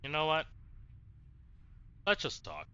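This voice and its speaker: Very bright, animated man, slightly dull recording. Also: a little high, slow, smooth. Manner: expressive, emotional, casual with swearing. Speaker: let's play streamer. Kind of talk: gameplay commentary with reading aloud of dialogue choices. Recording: dull lo-fi mic, faint noise.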